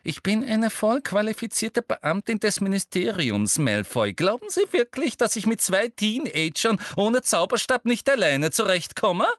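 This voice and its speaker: A man's voice: high-pitched, sing-song, a little slow, hardly any pauses, a bit bright, no echo, clean studio sound, pitched above the performer's own voice, heavily acted. A man voicing a bossy, greedy female ministry official in an audiobook, brusque, eager and biting in manner. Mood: scornful, biting.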